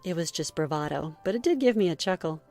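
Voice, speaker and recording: A woman reading a scripted first-person story in a measured read-aloud manner. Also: low voice, animated, a little mellow, soft background music. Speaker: story narrator.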